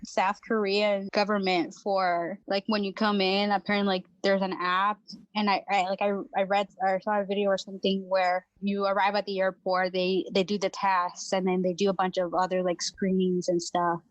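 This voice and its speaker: A young woman guest on a podcast chats loosely about her travels, filling her talk with "like" and quick laughs. Her voice sits at an ordinary pitch and sounds close and dry, with no room around it. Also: flat delivery, dry recording, highs cut off. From Venezuela. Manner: chatty, laughs often, many fillers. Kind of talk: casual chat.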